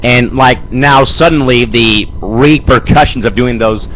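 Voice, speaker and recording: A young man with a head cold and a raspy voice, hosting an amateur sports show and rambling with lots of fillers. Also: medium pitch, unhurried, fairly bright tone, a little hiss or hum, rough recording. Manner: rambling, fills with "man" and "Chris". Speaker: host. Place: home studio.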